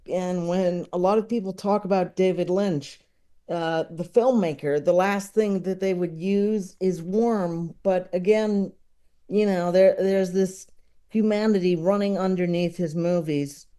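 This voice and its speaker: Slow-talking, halting man in mellow conversation. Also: sits high, clear, resonant tone. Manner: halting, rambling, with chuckling asides. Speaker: podcast guest, comedy enthusiast. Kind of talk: casual interview conversation.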